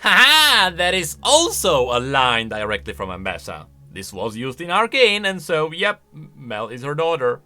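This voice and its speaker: A man giving casual, opinionated reaction commentary on video game lore in an animated, conversational way. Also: fairly high pitch, unhurried, hardly any pauses. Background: faint music.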